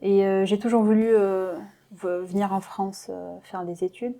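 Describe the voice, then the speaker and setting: A woman's voice: medium pitch, steady tone, normal speed, mellow. A young woman from Lebanon answering interview questions as a doctoral student, hesitant with many fillers and modest in tone.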